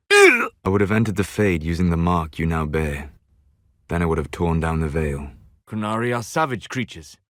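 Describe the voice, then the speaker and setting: A man playing a calm, scholarly, melancholy elven mage in a video game, speaking in deliberate, formal phrases. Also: on the low side, no echo, studio quality, lightly acted. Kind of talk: scripted video game character dialogue.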